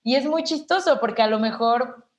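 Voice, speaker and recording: A woman in her twenties, an actress, talking thoughtfully as a podcast guest, tired yet energetic, often ending phrases with a questioning tag. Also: fairly high pitch, normal speed, muffled recording. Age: 20s. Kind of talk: reflective conversation.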